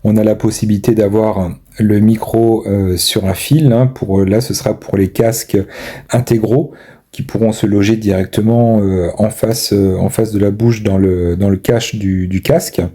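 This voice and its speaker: A man giving casual product commentary, thinking aloud with hesitant pauses. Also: lowish voice, steady tone, warm tone. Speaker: amateur video maker reviewing a purchase.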